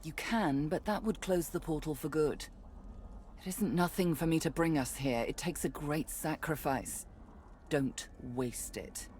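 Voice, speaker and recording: Low-voiced, wry commanding woman guide. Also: measured pace, darkish tone, a little hiss or hum. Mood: stern. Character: wry, commanding demon-savvy woman guide, guarded and sardonic. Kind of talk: video game voice acting.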